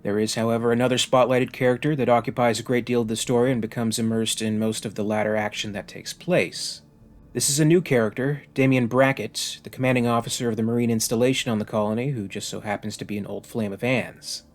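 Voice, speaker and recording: A man hosting a video review, reading scripted narration in a measured, articulate and formally phrased way. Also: medium pitch, steady tone.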